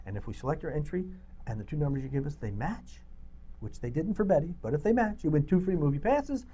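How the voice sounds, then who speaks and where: Man with lively, swinging intonation. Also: a little high, quick pace. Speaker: co-host of a local cable talk show. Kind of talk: casual banter with giveaway announcements.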